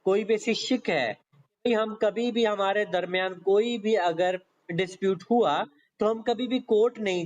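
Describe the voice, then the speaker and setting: A man with a Pakistani accent teaching law in a conversational exam-prep style, explaining step by step with repetition and frequent check-in tags. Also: high voice. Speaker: law lecturer. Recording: dull, lo-fi lecture audio.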